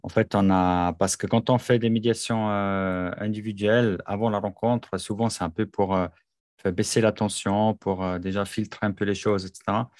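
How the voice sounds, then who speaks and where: A man's voice: on the low side, fairly even intonation, moderate pace, a little mellow, no echo, studio quality. A man hosting an interview in French, a native German speaker, chatting and reacting to his guest. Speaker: podcast host. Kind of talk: conversational interview.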